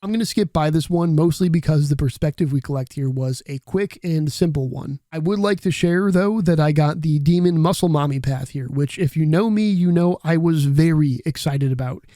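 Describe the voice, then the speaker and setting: A man's voice: fairly high pitch, moderate pace, few pauses, dark tone, dry recording, studio quality. A man narrating a video essay in a casual, reflective way, with the occasional swear.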